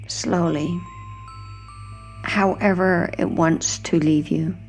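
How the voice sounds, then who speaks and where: Slow, low-voiced woman guiding sleep hypnosis. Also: steady tone, faint music behind, low-quality recording. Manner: gentle, hushed, unhurried, with soft trailing phrase endings. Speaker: hypnosis guide and narrator. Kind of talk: guided sleep hypnosis, soothing scripted narration.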